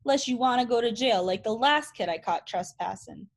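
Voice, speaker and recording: A woman's voice: fairly high pitch, normal speed. A woman doing a blustering bald foreman. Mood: stony threat. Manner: hollering, blustering, threatening. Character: grumpy bald middle-aged male construction foreman.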